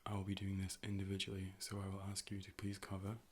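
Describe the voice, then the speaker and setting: A deep, nearly unvarying voice belongs to a polite artificial optician acted out by a man in an ASMR eye exam role play. Each phrase is measured, formal and clinical, delivered with calm robotic deadpan. A faint noise sits behind the voice. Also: close to an everyday voice. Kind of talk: ASMR medical role play, personal attention.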